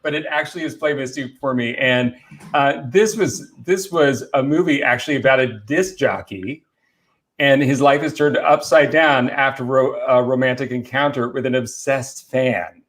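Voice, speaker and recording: A man's voice: medium pitch, normal speed, fairly bright tone. Enthusiastic man hosting a casual film chat. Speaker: film talk show host presenting movie trivia.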